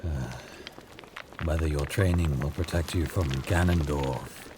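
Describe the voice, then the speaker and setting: A man playing gruff hermit master, very low voice, stern and terse, fantasy audio drama, loud water noise. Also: measured pace. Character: gruff seasoned hermit martial arts master.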